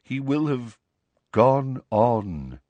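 A mournful old-fashioned gentleman ghost, acted by a male narrator for a storybook. He speaks slowly and softly, low in pitch, with formal, hesitant phrasing. His manner is resigned, gentle and evasive. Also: lively intonation, no echo. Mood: solemn. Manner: slow, hesitant, sighing, formal and quiet. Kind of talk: audiobook character voice.

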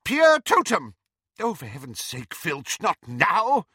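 A man voicing a stern, commanding older female professor in an audiobook, brisk and sharp in manner. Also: fairly high pitch, very animated, no room sound, clean studio sound, pitched above the performer's own voice, heavily acted. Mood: exasperated.

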